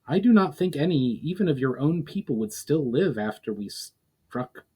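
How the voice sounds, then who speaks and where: A man's voice: fairly high pitch, lively intonation, normal speed, dark tone, breathy edge, pitched above the performer's own voice. A human prince from a fantasy storybook, read by a man. He speaks formally and hesitantly, humbled and uneasy. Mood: awed, guilty.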